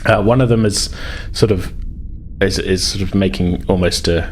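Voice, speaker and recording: A man's voice: deep voice, fairly even intonation, moderate pace, a little hiss or hum. A man narrating a tabletop game as game master, improvising and searching for words.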